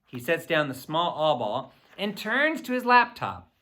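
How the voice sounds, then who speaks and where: A man reading a children's story aloud in an expressive, boyish first-person narration. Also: a little high, unhurried. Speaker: audiobook reader.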